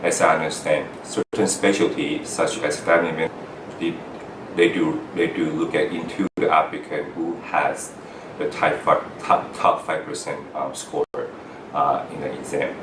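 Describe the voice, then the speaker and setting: Man from Taiwan, halting speech full of fillers, steady hum of air conditioning behind, echoey room. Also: extremely low pitch, distant mic. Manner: halting, frequent "uh" fillers and restarts. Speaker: interviewee, foreign-trained doctor. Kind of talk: earnest personal account to camera.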